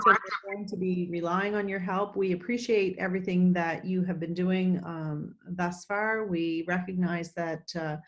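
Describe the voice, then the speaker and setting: A woman host, moderating a video call politely, with many ums and halting restarts. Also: lowish voice, muffled recording. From New Brunswick, Canada. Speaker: chamber of commerce host.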